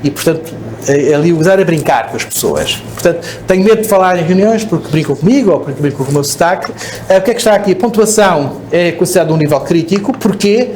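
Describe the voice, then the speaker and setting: A man's voice: sits high, expressive, quick pace, a little mellow, slight background noise. European Portuguese man giving a conference talk. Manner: explanatory, frequent "ok?" checks and restarts. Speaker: speaker at a conference. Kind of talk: conference presentation. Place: conference hall.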